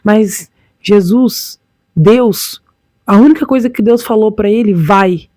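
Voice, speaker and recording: A woman's voice: medium pitch, slow pace, warm tone, dry recording. A woman with a Brazilian accent preaching informally, exhorting listeners with repeated phrases and rhetorical questions. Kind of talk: informal sermon with scripture reading.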